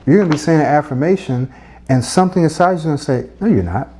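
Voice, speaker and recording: A middle-aged man giving a motivational speech-coaching lesson, earnest and repetitive, with a husky voice. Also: on the high side, fast talker, mellow, slight background noise.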